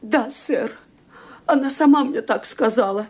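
An actress playing an earnest, deferential housemaid in a radio play, speaking carefully and respectfully. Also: female voice, high voice, measured pace, husky.

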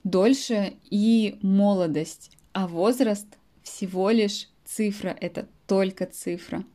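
Late-20s female language teacher, native speaker, warm and mellow, patient explainer with soft fillers, podcast monologue. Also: medium pitch, fairly even intonation, slow pace. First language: Russian. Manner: explains words in simple terms, with frequent soft fillers.

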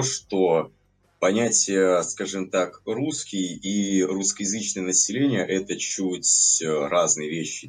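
A man's voice: deep voice, slightly rough. A young man from Latvia, a podcast guest, talking casually and rambling with many fillers and hedges.

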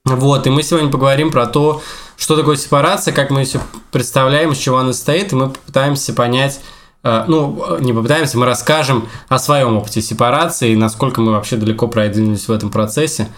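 A man's voice: mid-range voice, steady tone, quick pace. Podcast co-host, male, late twenties, mid-range voice, informal conversational delivery. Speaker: podcast co-host, teacher and young historian. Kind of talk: casual group podcast chat.

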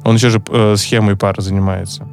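A man's voice: fairly low pitch, little pitch movement, fairly quick, vocal fry, darkish tone, faint music behind. A man speaking Russian as a second language, co-hosting a casual podcast chat, joking and hesitant with many fillers and restarts. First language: not Russian. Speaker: podcast co-host, psychologist.

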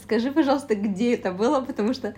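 A woman's voice: on the high side, fast, warm tone. A woman interviewer asking polite, well-ordered questions. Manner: attentive, polite and structured questioning.

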